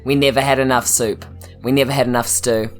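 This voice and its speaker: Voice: medium pitch, fairly even intonation, fairly quick, soft background music. A tabletop game player bantering casually.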